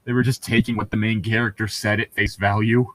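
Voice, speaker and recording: A man with a fairly low voice chats casually as a co-host picking apart a book. His explanations ramble, full of filler "like" and swearing. The sound is dry, with little room to it. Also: little pitch movement, fairly quick, a bit bright, dry recording. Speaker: podcast co-host reading and critiquing a book. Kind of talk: casual chat, commentary.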